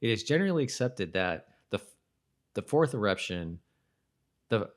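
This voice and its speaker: A man co-hosting a podcast in casual conversation, with a halting, self-correcting way of talking. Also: fairly low pitch, very animated. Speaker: podcast co-host. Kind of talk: casual chat about a TV episode.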